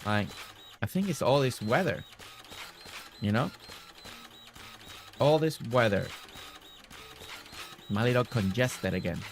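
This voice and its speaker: A man's voice: mid-range voice, animated, slow, pauses often, a little hiss or hum. A man streaming a building game, chatting casually with viewers by name and a little congested. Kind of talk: casual live-stream chat while building.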